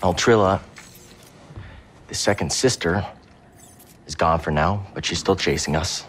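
An actor playing a young Jedi hero who talks in an earnest, guarded, low-key way. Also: male voice, a little slow, a bit bright, slight background noise. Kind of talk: video game cutscene acting.

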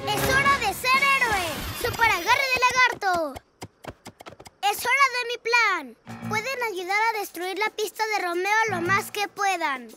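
Eager cartoon boy hero, voiced by a woman, high-pitched, shouting power calls, bright score behind. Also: measured pace, over loud music. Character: eager young boy superhero, plan-maker. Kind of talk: cartoon dub acting.

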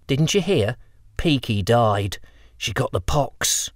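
A man's voice: medium pitch, expressive, slow, dry recording, studio quality. A man voicing a grubby, cheeky young lamplighter boy with a chirpy, slangy way of talking.

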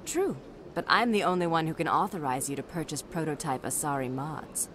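Low-voiced, calculating polite official. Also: female voice, fairly bright tone, slight background noise. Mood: coolly polite. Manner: formal, measured, polite. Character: composed, calculating female official with smooth evasive politeness. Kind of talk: video game dialogue.

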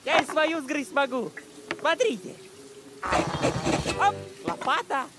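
A man's voice: very high-pitched, expressive, slow, over loud music, full character voice. A man playing a cheeky, casual cartoon beaver with a breezy, colloquial way of talking. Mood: cheeky, showing off. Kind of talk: animated cartoon acting. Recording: loud rain effects behind voice.

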